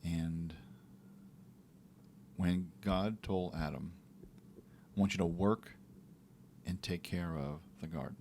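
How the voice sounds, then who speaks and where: A man co-hosting a podcast, talking in a relaxed, reflective way about faith and daily life, sometimes acting out little quotes. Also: deep voice, slow, pauses often, slight background noise. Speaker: podcast co-host. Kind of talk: casual faith talk.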